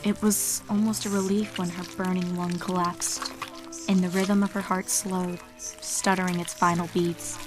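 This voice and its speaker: A woman's voice: fairly low pitch, level tone, measured pace, music in the background. A woman giving a dramatic scripted narration of a horror story.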